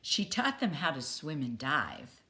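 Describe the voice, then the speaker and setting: A woman reading a children's picture book aloud with expressive, deliberate phrasing. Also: deep voice. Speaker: storybook reader.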